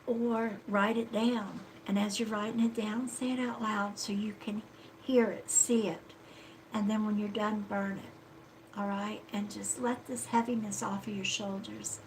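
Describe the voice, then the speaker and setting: A woman with a stuffy nose giving a live card reading, speaking in a slow, soothing, reassuring way and often checking in with "okay?" and "all right?". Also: mid-range voice, level tone, slight background noise, poor audio. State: stuffy-nosed. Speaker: psychic card reader.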